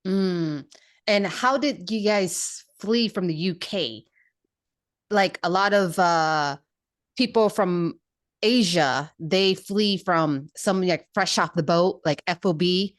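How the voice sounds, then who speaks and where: A young woman hosting a podcast, bubbly and eager, chatting casually with quick bursts. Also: on the low side. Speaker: podcast host.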